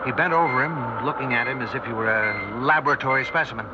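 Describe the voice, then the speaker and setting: An actor playing a haunted male scientist, narrating in tense, deliberate 1960s radio drama style with dramatic pauses. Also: mid-range voice, very noisy, close to an everyday voice. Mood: horrified. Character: haunted younger male scientist recounting a terrifying memory. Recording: dull 1960s radio recording, loud dramatic music.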